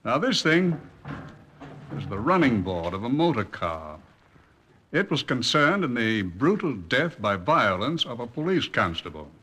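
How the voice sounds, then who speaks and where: A man's voice: mid-range voice, very animated, a little slow, sharp tone, slight background noise. A British man playing a formal, wry police museum curator briefing listeners in a radio crime drama. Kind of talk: 1950s radio drama presenting.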